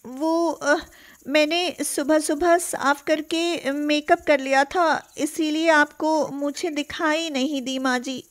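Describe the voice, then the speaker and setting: Timid young daughter-in-law for a cartoon tale, played by a woman: high voice, slow, hesitant, pleading, anxious. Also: fairly even intonation. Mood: apologetic.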